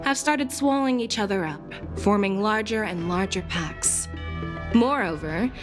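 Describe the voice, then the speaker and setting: A woman's voice: medium pitch, animated, measured pace, natural voice. A woman voicing a confident, candid female general in a video game, assured, formal and articulate. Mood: serious. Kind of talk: scripted video game dialogue. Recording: game music behind the voice.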